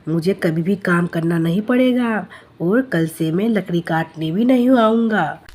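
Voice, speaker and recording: An earnest, simple young woodcutter of a cartoon fable, voiced by a woman. The voice sits at a medium pitch and moves slowly, with a naive, devout manner. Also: darkish tone, natural voice. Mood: eager. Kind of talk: Hindi cartoon story dubbing.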